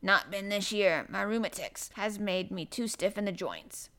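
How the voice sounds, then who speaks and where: A woman's voice: on the low side, animated, a little slow, fairly bright tone. Woman acting grumbling old Yorkshire gardener man. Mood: cross. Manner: grumbling, grunting, dialect speech. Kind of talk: audiobook character voice.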